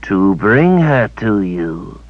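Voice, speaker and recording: A squat little creature servant whines and grovels in halting, broken phrases, as a male narrator puts it on, his voice pushed slow and strange. The sound is dry and a little dull. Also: lowish voice, sing-song, dry recording, pitched above the performer's own voice, heavily acted. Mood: whining. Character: squat creature servant, whiny, crude speech.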